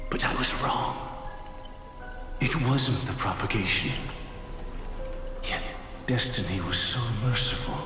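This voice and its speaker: An actor playing a solemn, brooding man in a fantasy video game, speaking with grave, deliberate theatrical delivery. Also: fairly low pitch. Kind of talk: dramatic video game voice acting. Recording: echoey hall reverb, music behind.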